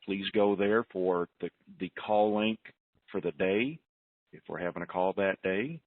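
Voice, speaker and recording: A man speaks over a thin, narrowband phone line, hosting a conference call. His delivery is slow and deliberate, with a fairly low voice, as he gives plain, informal announcements. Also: no echo. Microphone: telephone.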